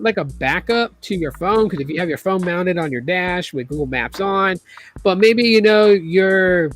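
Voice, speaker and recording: A man's voice: high voice, a bit bright. Man rambling about tech, losing his voice. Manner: rambling, many fillers and restarts. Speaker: tech video host. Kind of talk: casual tech explainer.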